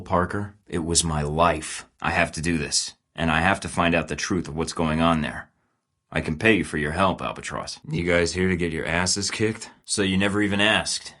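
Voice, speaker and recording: A man's voice: sits low, a bit bright. A young man playing a cocky male rogue spy who talks in a sarcastic, clipped way in a studio game recording. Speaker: secret agent protagonist. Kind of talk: video game voice acting.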